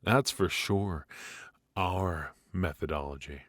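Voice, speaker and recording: A man playing a ruthless, smugly menacing mafia boss in a noir ASMR roleplay, speaking deliberately and theatrically with mock affection. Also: sits low, fairly bright tone, no room sound. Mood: coolly taunting. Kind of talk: ASMR roleplay, noir audio drama acting.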